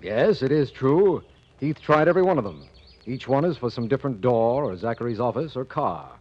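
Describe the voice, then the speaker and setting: Guy playing gruff lawman in old 40s radio drama, husky, animated pitch, earnest and a bit baffled. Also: moderate pace, close to an everyday voice. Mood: puzzled, insistent. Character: earnest, puzzled male investigating official. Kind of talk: 1940s radio drama acting. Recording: muffled 1940s radio recording.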